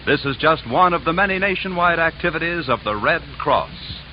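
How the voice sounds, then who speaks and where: A man's voice: a little high, expressive, normal speed, slight background noise. A man with an American accent reading scripted announcements in 1950s network radio style. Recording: dull vintage radio recording with music.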